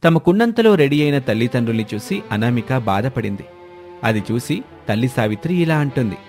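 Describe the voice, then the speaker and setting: A man's voice: medium pitch, very animated, fairly quick, darkish tone. A man reading a scripted story as its narrator, with music behind him.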